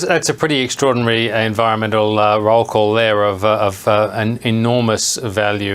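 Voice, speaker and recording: An Australian man giving live political analysis, measured and reflective, with some hesitation. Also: fairly low pitch, fairly bright tone, clear, resonant tone. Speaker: political commentator.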